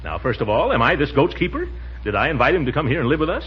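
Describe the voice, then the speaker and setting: An actor playing a pompous, flustered but soft-hearted middle-aged uncle in a 1940s radio comedy, stammering and chuckling. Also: male voice, a little high, very animated, fast, slightly rough, heavily acted. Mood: blustery, lecturing. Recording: muffled, noisy 1940s radio broadcast.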